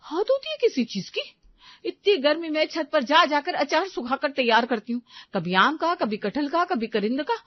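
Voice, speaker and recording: A woman's voice: sits high, very animated, normal speed, no room sound, muffled recording, voice pushed up. A woman voicing an exasperated, fussy young housewife and mother for a cartoon story. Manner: scolding, fussy.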